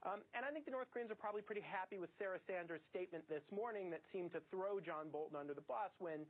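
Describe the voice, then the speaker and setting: A man's voice: high voice, fast. A man giving expert analysis in a news interview. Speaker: expert commentator. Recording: tinny phone-like audio.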